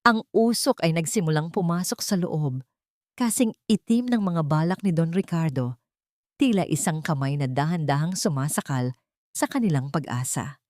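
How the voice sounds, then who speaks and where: A woman's voice: low voice, animated, moderate pace, darkish tone, no room sound, clean studio sound. A woman delivering dramatic scripted narration for an audio drama, in a solemn, emotive storytelling manner.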